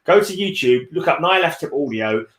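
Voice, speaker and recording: A man's voice: fairly high pitch, animated, brisk, a bit bright, echoey room. A man chatting casually as host of a book read-along, rambling and repeating phrases as he searches for words. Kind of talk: casual book discussion chat.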